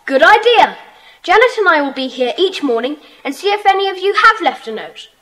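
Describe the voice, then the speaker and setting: Bold kid leader, super high sharp voice, bossy, radio play. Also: female voice, sing-song, a little fast, full character voice. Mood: keen, bossy. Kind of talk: audio drama acting.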